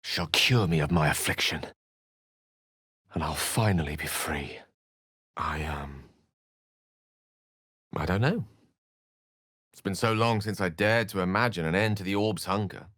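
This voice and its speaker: A man's voice: deep voice, measured pace, pauses often, a bit bright, husky, no echo, studio quality, exaggerated voice. An actor playing a wry, scholarly middle-aged wizard in a fantasy video game, articulate and theatrical in his phrasing. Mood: sombre, earnest. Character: eloquent, wry, scholarly male wizard adventurer.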